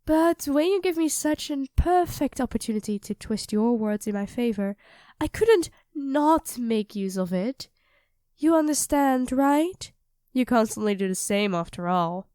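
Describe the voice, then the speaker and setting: Woman acting as high-voiced, affectionate, teasing girlfriend. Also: unhurried, dry recording, studio quality. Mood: playful, teasing. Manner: playful, doting, teasing, expressive swings. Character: affectionate, teasing young live-in girlfriend cooking dinner. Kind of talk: ASMR roleplay, audio drama spoken to the listener as partner.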